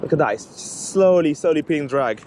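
A young man in his twenties, vlogging outdoors by a lake while fishing, talking excitedly and repeating himself as he reels in carp. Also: on the high side, background noise. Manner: excitable, repeats himself, fast exclamations while reeling in fish. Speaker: angler vlogger.

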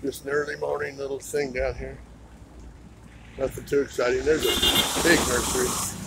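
A man's voice: mid-range voice, level tone, moderate pace. A man from Idaho in the US giving laid-back, chatty commentary as he films a walk on a town roadside with a handheld phone. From United States (Idaho). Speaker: vlogger on a walking tour. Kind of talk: casual walking commentary. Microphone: handheld phone camera. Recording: outdoor phone recording, clear traffic noise. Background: passing vehicles and street ambience.

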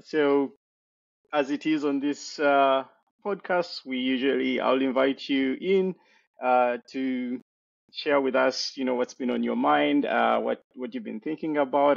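A young man with a gravelly, creaky voice hosts a podcast, friendly and a bit hesitant, with lots of fillers. The call audio is dull and dry, picked up from a headset. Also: medium pitch, dry recording. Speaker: podcast host. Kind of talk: casual interview conversation. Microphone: headset on video call.

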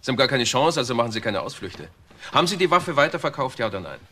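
An actor playing a blunt police detective in a crime drama, direct and insistent. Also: male voice, mid-range voice, sing-song, very fast, pushed and bright. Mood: pressing.

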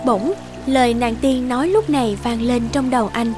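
A woman narrating a scripted fairy tale for a children's cartoon in a measured storytelling manner. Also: on the high side. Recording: music clearly behind the voice.

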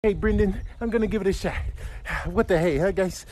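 A man's voice: high-pitched, fairly quick, a little mellow, lightly acted. A man voicing an anxious dog with a bad hip, worried and folksy, in a comic animal voiceover. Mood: nervous.

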